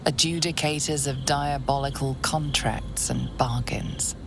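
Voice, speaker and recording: A woman's voice: extremely low pitch, steady tone, measured pace, music in the background. A woman voicing a grave devil adjudicator in a video game, solemn and ominous. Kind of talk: video game character acting.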